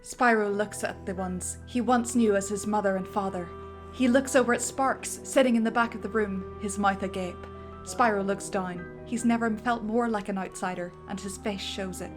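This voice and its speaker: A woman's voice: medium pitch, moderate pace, over music. Woman narrating a script with flair. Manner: vivid storybook narration. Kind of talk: dramatic script reading.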